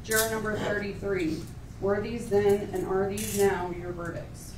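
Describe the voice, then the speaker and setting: A woman working as a court clerk, reading formal verdicts and polling questions aloud in a measured, official way. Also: mid-range voice, little pitch movement. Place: indoor courtroom. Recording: roomy, distant courtroom pickup with clear noise.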